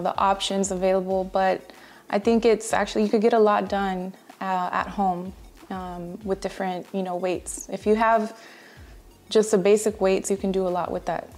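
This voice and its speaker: A woman's voice: medium pitch, a little mellow. A woman in her thirties giving a casual, conversational walkthrough of exercises as a fitness vlogger, recorded in a room at home. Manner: conversational, explanatory. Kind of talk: casual instructional voice-over explaining exercises.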